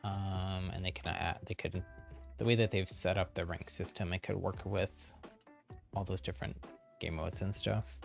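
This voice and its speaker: A man's voice: deep voice, fairly even intonation, normal speed, vocal fry. A man streaming a game, giving casual, easygoing explanatory commentary to viewers. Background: game music.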